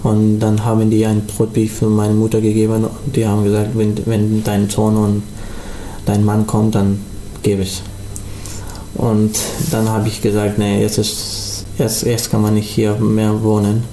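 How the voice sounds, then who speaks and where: A young man from Afghanistan, speaking German as an as a guest in a radio interview, halting and full of restarts. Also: lowish voice, completely flat delivery, mellow, reverberant, a little hiss or hum, rough recording. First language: not German. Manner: halting, many restarts and fillers. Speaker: studio guest.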